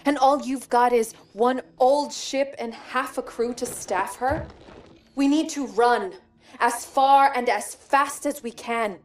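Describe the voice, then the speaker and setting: A woman playing a grave, intense survivor in a game cutscene, speaking like someone telling a dark history. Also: high voice, takes it slowly. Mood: bitter, fearful. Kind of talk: cinematic game cutscene acting.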